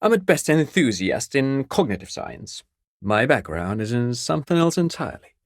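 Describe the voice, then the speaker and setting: An actor playing a chatty, courteous amateur academic in a video game, explaining things precisely like an eager enthusiast. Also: male voice, medium pitch, sing-song, moderate pace, no echo, studio quality. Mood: modest, awkward. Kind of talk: game character dialogue.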